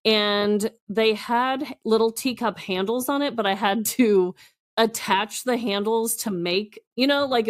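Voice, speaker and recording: Chatty, expressive woman podcast host. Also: on the high side. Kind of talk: casual comedic storytelling.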